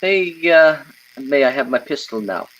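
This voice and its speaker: Male radio drama crook: over-polite, furtive, formal and hesitant, mid-pitched, on dull lo-fi sound. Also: sing-song, a little slow, fairly bright tone. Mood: nervous, insistent. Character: fussy, polite, furtive Greek crook with a pistol. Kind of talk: old-time radio drama acting.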